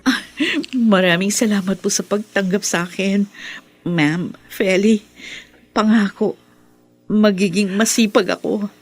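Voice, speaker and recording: Babaeng gumaganap na mahiyaing dalagang problemado, medyo paos. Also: female voice, medium pitch, steady tone, measured pace, faint music behind, natural voice. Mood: humble, worried. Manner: earnest, hesitant. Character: humble, troubled young woman desperate for work. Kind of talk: radio drama acting.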